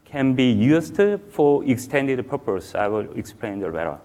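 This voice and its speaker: A man in his forties with a Korean accent, a native Korean speaker giving a halting technical talk on a conference stage, with many fillers. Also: medium pitch, expressive, mellow. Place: large conference hall stage.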